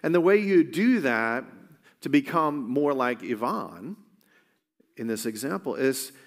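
Male preacher, mid-pitched voice, earnest conversational delivery, long hall reverb. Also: very animated, measured pace. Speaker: pastor. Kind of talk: sermon.

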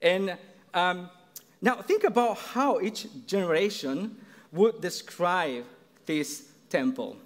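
Slow, earnest Mexican-accented preacher. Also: male voice, high-pitched, animated. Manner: earnest, halting, many restarts. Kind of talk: sermon. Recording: long reverb in a large hall.

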